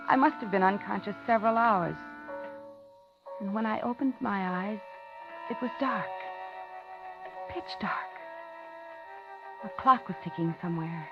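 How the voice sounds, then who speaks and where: A dull 1950s radio recording with some music carries an actress as a lonely older widow. She has a medium-pitched voice and a genteel, chatty, dramatic delivery, as in a radio thriller. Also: close to an everyday voice. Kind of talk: 1950s radio thriller acting with first-person narration.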